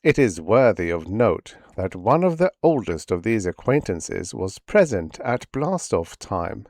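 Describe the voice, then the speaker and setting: Guy doing an old retired space boss for an audiobook, slow talker, very dry close sound, nostalgic n kinda formal. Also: lowish voice, sing-song, darkish tone, no echo. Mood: reminiscing, candid. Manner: reflective, measured, faintly formal speech. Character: old man, proud nostalgic former space project chief. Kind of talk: first-person audiobook character narration.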